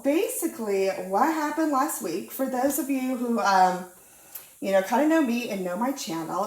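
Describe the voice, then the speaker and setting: A young woman with a cold-stuffed, slightly nasal voice chats cheerfully, full of ums and uhs. She sounds relaxed and friendly at a crafting table. Her voice bounces off a roomy space. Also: a little high. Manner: bubbly, chatty, lots of ums and uhs. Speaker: diamond painting channel host. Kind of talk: casual crafting chat. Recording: roomy echo.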